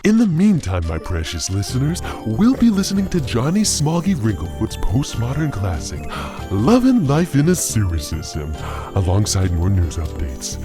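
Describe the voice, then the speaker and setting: A man's voice: mid-range voice, wildly swooping pitch, normal speed. An actor playing a smarmy, theatrical radio DJ in an audio drama, with an unctuous showman's drawl. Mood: syrupy, sly. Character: smarmy, theatrical male radio DJ, syrupy and sly. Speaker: radio host. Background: loud music.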